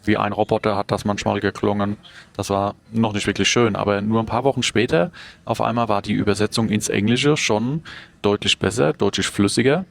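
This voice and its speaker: Male travel vlogger, low register with some fry, relaxed direct-to-camera chat. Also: brisk. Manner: conversational, asks viewers questions directly. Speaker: travel vlogger and onboard courier. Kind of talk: casual vlog talk to camera.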